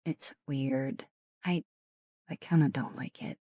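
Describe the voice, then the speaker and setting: A woman streamer chatting casually with viewers in a soft ASMR style, her voice raspy and deeper than usual, often slipping into whispers. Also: steady tone, slow, dry recording, studio quality. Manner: chatty, greets and thanks viewers.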